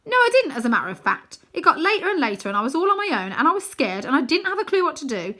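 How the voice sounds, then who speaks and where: A woman's voice: high voice, very animated, fast, bright, forceful tone, pitched above the performer's own voice, full character voice. A woman voicing a stubborn, scornful girl in a storybook, firm and sceptical in manner. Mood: indignant, defensive. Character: stubborn, bossy, scornful girl, a twin sister.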